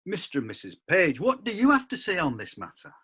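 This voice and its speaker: A gruff, husky magistrate voiced by a woman presides over an old-time courtroom in an audio drama. The voice sits low and bright-edged, swinging widely in pitch as the questions fly. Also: wildly swooping pitch, fairly quick, full character voice. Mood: stern. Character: stern presiding male magistrate. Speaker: judge. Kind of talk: amateur radio play acting.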